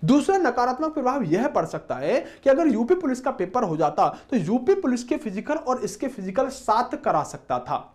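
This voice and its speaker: A man's voice: very high-pitched, sing-song, moderate pace, few pauses, darkish tone. A man speaking as an exam coaching teacher, explaining informally to students and often addressing them as friends. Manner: repeatedly addresses listeners as friends.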